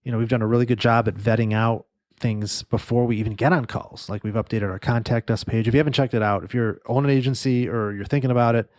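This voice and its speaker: A man's voice: mid-range voice, fairly even intonation, fast talker, darkish tone, dry recording. A man with a nasal voice hosting a solo podcast, talking casually and rambling with many fillers and restarts into a studio microphone. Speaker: podcast host and agency owner.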